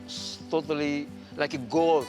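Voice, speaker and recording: Indonesian guy in his 40s, broken english, lots of restarts, kinda high voice, music under it. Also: steady tone, unhurried. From Indonesia, Papua. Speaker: guest choreographer. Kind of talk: casual interview chat. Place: indoor TV studio set.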